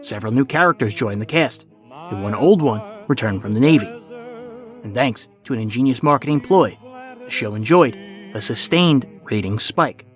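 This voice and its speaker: A man hosting a podcast with scripted documentary narration in a measured, clearly phrased announcer delivery. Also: medium pitch, lively intonation, soft background music. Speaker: podcast host.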